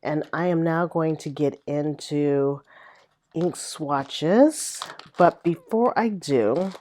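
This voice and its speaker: A middle-aged woman chatting casually to camera as a vlogger, rambling with many fillers, her voice a little raspy. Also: sits low, takes it slowly, dark tone, slight background noise. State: slightly raspy voice. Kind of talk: casual chat.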